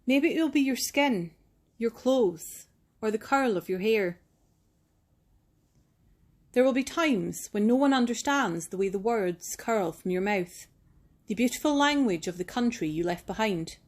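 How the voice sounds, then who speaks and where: Woman w a fairly high voice doing storytime, gentle n unhurried reading of a kids book. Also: lively intonation. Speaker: storytime reader, teacher. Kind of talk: picture book read-aloud.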